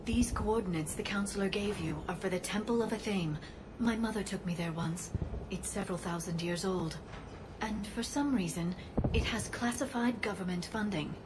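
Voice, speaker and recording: An actress playing a gentle young alien scientist who speaks softly and earnestly, worried for her people. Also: medium pitch, moderate pace, noisy background, close to an everyday voice. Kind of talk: video game cutscene acting.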